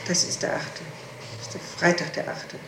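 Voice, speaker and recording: Woman answering questions on echoey old tape. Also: moderate pace. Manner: hesitant recollection, interjecting, self-correcting. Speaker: person being interrogated. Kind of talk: answering questions in a recorded interrogation. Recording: echoey 1960s archive tape, some noise.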